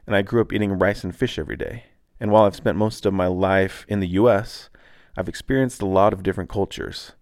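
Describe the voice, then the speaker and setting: Calm, deep-voiced laid-back man, very dry sound. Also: no room sound. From Taiwan. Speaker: podcast host. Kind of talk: scripted personal reflection.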